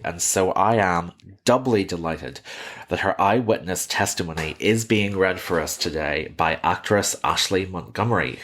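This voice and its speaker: A man hosting a history podcast, reading scripted narration with measured, wry conversational asides. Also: low-pitched, a bit bright. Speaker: history podcast host and author.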